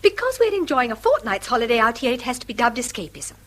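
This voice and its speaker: An actress playing a weary, wistful English wife with a defiant streak, in an emphatic British radio drama style. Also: female voice, on the high side, very animated, quick pace, a bit bright. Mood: indignant, defiant. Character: weary wife Mary, wistful, quick to defy her husband.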